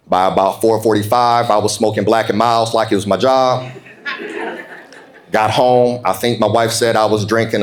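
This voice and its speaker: Emphatic man giving a motivational keynote. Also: lowish voice. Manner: emphatic, slows down on key phrases; informal, folksy, calls on listeners for response. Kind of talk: motivational keynote speech to an audience.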